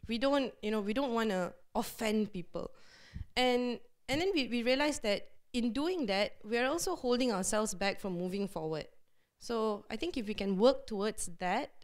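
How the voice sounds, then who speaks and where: Woman, somewhat high voice, frank and animated, laughs often, panel discussion. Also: normal speed. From Malaysia.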